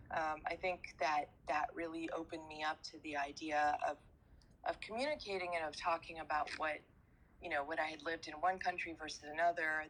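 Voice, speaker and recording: A woman's voice: deep voice, a bit bright, poor audio. A woman guest from France answering interview questions, hesitating often with many fillers and repeated words.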